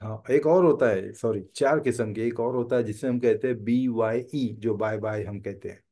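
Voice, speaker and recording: Mellow-voiced Indian man teaching. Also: medium pitch, normal speed. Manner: explanatory, repeats phrases for learners. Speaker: English teacher. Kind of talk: bilingual language lesson, reading example sentences and explaining them.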